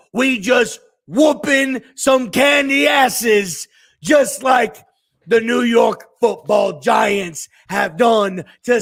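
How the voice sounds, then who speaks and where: Male co-host, hoarse and raspy, high gravelly voice, slow deliberate hype-promo delivery. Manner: brash, shouty trash talk. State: hoarse from screaming. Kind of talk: wrestling-promo hype talk.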